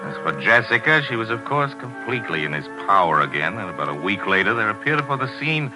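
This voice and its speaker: An actor playing a measured, knowing first-person narrator in a 1940s radio drama. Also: male voice, lowish voice, big pitch swings, rough recording. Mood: measured, wry. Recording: old 1940s radio recording, music behind.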